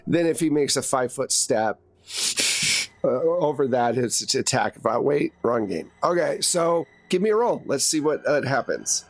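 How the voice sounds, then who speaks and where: A man with a mid-pitched voice runs a tabletop horror game as game master. He narrates the action straight to his players in second person and drops in casual, conversational asides. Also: expressive, moderate pace.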